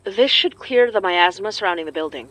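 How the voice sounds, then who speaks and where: A woman acting as an anxious but determined young folk magic practitioner in an audio drama, sometimes halting. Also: mid-range voice, expressive, a bit bright, close to an everyday voice. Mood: focused, serious.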